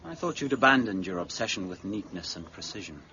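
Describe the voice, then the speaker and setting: A young gentleman artist in a radio drama. He is earnest and courteous, easily excited, with lively intonation. The audio sounds slightly dull. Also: low voice, a little fast. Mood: questioning. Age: young adult. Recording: dull lo-fi audio with faint noise.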